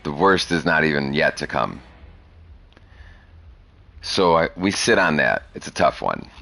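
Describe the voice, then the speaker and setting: A man's voice: very deep voice, very animated, unhurried, vocal fry, bright, forceful tone, no room sound. A man in his thirties talking bluntly and profanely in a rambling casual podcast chat. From Chicago area. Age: 30s. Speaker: podcast host.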